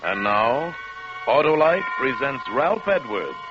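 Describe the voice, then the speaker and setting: Slow, dramatic male radio announcer. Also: medium pitch, big pitch swings. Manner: formal, dramatic. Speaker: program announcer. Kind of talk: scripted 1950s radio drama introduction. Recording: dull vintage radio recording with loud music.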